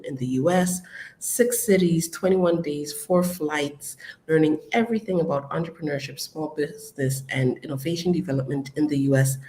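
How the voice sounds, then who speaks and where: An adult host giving a casual live-stream update to followers, chatty and upbeat, with frequent ums and shout-outs. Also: mid-range voice, slight background noise, low-quality recording. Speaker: business community host.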